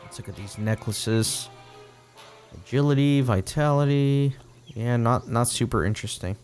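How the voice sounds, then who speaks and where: A man's voice: medium pitch, unhurried, darkish tone, clear, resonant tone, faint music behind. A man getting over a cold, giving casual, chatty, rambling commentary while playing an online game. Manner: chatty, rambling, self-correcting. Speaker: let's play gamer.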